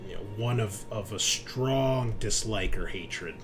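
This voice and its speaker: A man working as game master, narrating a roleplaying game in an informal, halting way with some swearing. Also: on the low side, over music.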